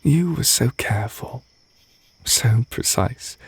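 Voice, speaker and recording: A man voicing a tender, reserved witch teacher in a romantic ASMR roleplay, speaking softly and hesitantly, close to the mic with crickets behind. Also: medium pitch, lively intonation, breathy edge, no echo, heavily acted. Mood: wistful, tender. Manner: soft, intimate, hesitant, gently measured. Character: tender, reserved male witch teacher confessing love to partner. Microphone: close microphone.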